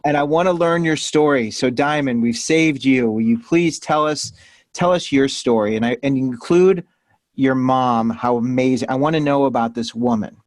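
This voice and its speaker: A middle-aged man hosts a panel interview, asking curious, encouraging questions. His voice sits in a middle pitch, recorded close and dry. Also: moderate pace, no room sound. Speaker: host.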